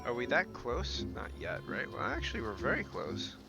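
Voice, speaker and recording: A man's voice: mid-range voice, huge pitch swings, normal speed, vocal fry. A man giving casual gameplay commentary as a game streamer. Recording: game music behind voice. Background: game music.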